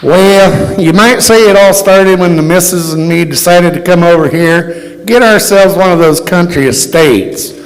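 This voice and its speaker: A man's voice: high-pitched, unhurried. A man playing a blunt, stubborn, folksy American father in an old-time radio play on stage. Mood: folksy storytelling. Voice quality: folksy, ungrammatical rural speech. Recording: echoey hall, stage performance.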